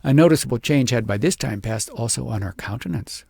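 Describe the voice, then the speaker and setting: A man narrates a storybook with a heavily gravelled, husky voice. His delivery is measured and expressive, as an audiobook reader's is. The sound is dry, with very little room to it. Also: medium pitch, no room sound.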